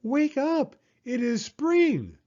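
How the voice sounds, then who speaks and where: A man reading a storybook aloud who voices an eager, excited male frog in a high voice, calling out to his sleepy friend. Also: drawn-out words, highs cut off, exaggerated voice. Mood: excited shouting.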